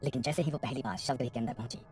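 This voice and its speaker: A woman with a very deep, dark voice narrates a scripted horror story. She moves along briskly, with a faint noise behind her. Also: slightly rough.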